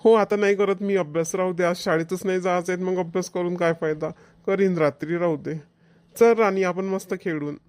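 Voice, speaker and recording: A woman's voice: fairly low pitch, fairly even intonation, brisk, darkish tone, no room sound, studio quality, close to an everyday voice. A woman voicing a bossy, know-it-all schoolboy older brother in a Marathi cartoon comedy, with a rural Vidarbha accent. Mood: carefree. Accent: Maharashtrian, rural Vidarbha dialect. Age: child character, about eleven.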